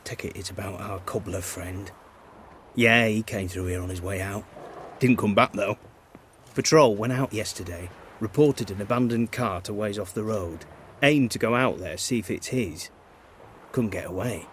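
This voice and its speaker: An actor playing a plain-spoken, quietly disillusioned soldier on guard in a fantasy video game, talking in blunt, clipped, colloquial phrases. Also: male voice, lowish voice, normal speed, a little hiss or hum, close to an everyday voice. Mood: businesslike.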